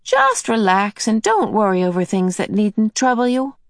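A woman's voice: mid-range voice, very animated, dry recording, clean studio sound, pitched above the performer's own voice. A woman voicing a cheerful, motherly old otherworldly female being who soothes and fusses. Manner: bright and soothing, brisk.

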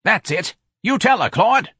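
A man voicing a bossy, sharp-tongued working-class woman in an audiobook, pushy and emphatic. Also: high-pitched, animated, measured pace, breathy edge, no echo, studio quality, voice pushed up, exaggerated voice. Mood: brisk. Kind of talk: audiobook character dialogue.

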